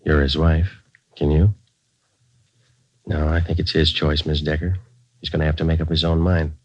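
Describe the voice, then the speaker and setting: An American actor playing a stoic police detective sergeant in a 1940s radio crime drama, speaking in terse, matter-of-fact, deadpan phrases. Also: male voice, extremely low pitch, normal speed, dry recording. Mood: grave, reserved. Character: stoic, clipped police detective sergeant, narrating his cases. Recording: muffled old 1940s radio recording.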